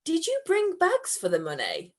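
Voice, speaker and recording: A woman's voice: very high-pitched, huge pitch swings, normal speed, voice pushed up, exaggerated voice. A woman voicing an exasperated male amateur performer turned bank robber in a comic story, theatrical and sarcastic. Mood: stern.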